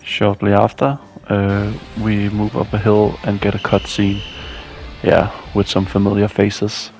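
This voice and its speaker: Low-pitched man narrating a game guide. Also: monotone, measured pace, darkish tone, faint music behind. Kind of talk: scripted game walkthrough narration.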